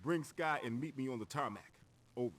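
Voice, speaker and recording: A man's voice: medium pitch, a little fast, fairly bright tone, natural voice. A man playing an action-game vigilante driver, with stilted, theatrical cutscene delivery. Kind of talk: video game cutscene radio dialogue. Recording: very noisy game cutscene audio.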